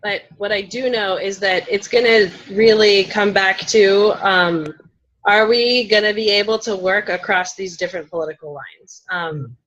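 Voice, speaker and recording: A woman's voice: mid-range voice, normal speed, fairly bright tone. A woman on a panel, speaking in thoughtful, unscripted discussion. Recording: dull lo-fi audio.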